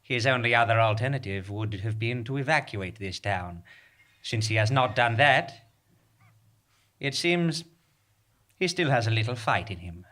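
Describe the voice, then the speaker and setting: An actor playing a menacing bandit leader in a live comic western radio drama, speaking in a grandiloquent, deliberate, theatrical way. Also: male voice, mid-range voice, expressive, a bit bright. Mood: smug, calculating.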